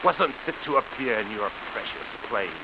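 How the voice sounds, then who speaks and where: A man's voice: mid-range voice, animated, normal speed, close to an everyday voice. A man playing a scheming, theatrical actor, hissy old radio. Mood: gloating menace. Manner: theatrical, insinuating, savoring his words. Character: embittered, scheming actor, falsely genial, quietly menacing. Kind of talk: 1940s radio suspense acting. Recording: muffled, hissy vintage radio recording.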